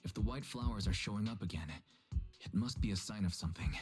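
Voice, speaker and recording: A man voicing a calm, analytical investigator in game dialogue, with a measured, serious delivery. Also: fairly low pitch, soft background music, natural voice. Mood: pensive. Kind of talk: voiced game story dialogue.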